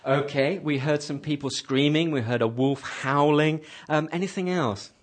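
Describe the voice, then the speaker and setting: A man's voice: fairly high pitch, moderate pace. Guy teaching an english class on radio, patient, echoes back what students say. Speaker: host leading an English class.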